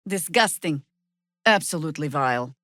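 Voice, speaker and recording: A woman voicing a weary, sardonic veteran druid warrior in a fantasy video game, speaking in a dry, measured way. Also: low-pitched, animated, bright, forceful tone, no room sound. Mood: cold contempt.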